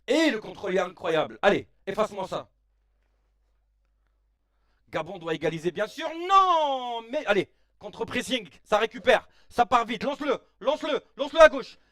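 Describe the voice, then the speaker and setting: A man in his late thirties hosting a casual live stream, reacting excitedly to a football match in slangy chat and shouting at the players. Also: high voice, normal speed, no room sound, far from the mic. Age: 30s. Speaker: live-stream watch-along host.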